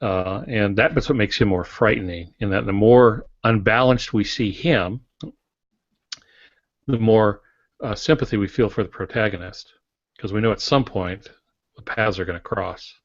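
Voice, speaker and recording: A man's voice: on the low side, moderate pace, dry recording. A man co-hosting a podcast, discussing fiction writing in a casual, reflective, folksy way. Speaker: podcast co-host and novelist. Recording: dull lo-fi podcast audio.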